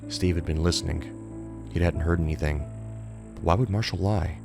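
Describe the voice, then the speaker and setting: Very deep male horror narrator. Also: steady tone, normal speed, gravelly, music in the background. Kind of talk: scripted narration, suspense fiction.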